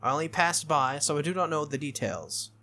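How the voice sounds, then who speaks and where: Formal young anime swordswoman, voiced by a reader, bright-toned. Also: mid-range voice, expressive, brisk. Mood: composed. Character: formal, composed young female anime knight.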